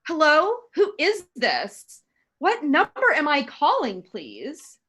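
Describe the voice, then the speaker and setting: Woman acting high-voiced, fretful, demanding housewife. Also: wildly swooping pitch, slow, fairly bright tone, heavily acted. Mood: fretful, impatient. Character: nervous, frail, imperious housewife, increasingly frantic. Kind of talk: radio play acting.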